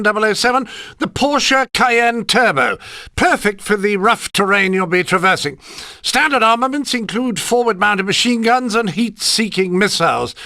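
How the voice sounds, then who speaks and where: An actor playing a fussy, proud gadget inventor who briefs a spy precisely in a video game. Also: male voice, sits high, sharp tone, dry recording, studio quality, heavily acted. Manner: precise, instructive, slightly fussy.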